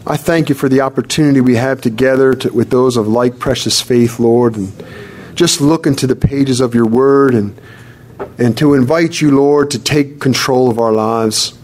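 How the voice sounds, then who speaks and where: A man preaching to a congregation and praying aloud fervently, calling on the Lord again and again and reading scripture slowly. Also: medium pitch, steady tone, darkish tone. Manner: fervent, repeats "Lord" often; reads scripture slowly and deliberately. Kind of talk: sermon and spoken prayer. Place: church service with congregation responding.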